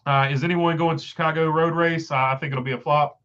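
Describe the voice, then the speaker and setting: A man's voice: on the high side, steady tone, brisk, fairly bright tone, lo-fi sound. Stuffed-up man rambling through chat. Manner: rambling, many "uh" fillers and restarts. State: stuffed up, voice going. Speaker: livestream host reading chat. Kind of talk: casual live chat.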